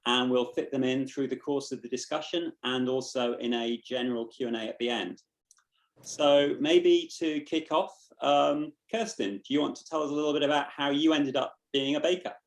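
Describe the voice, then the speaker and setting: A man's voice: medium pitch, reverberant, low-quality recording. A man hosting a livestream Q&A over a video call, speaking hesitantly with many fillers. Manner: hesitant, many ums and uhs. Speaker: host.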